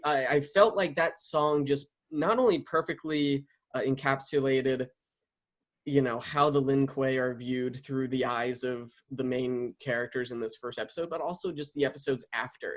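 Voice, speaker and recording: Man, casual interviewer, enthusiastic, talkative, mid-range voice. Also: medium pitch. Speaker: interviewer and series writer.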